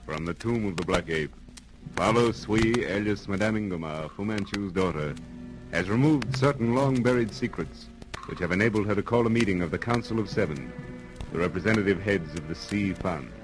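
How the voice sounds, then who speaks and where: Low-voiced dramatic radio serial narrator, male. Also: rough recording. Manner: dramatic, formal, deliberate phrasing. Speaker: announcer and narrator. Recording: vintage radio recording with music behind. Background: music.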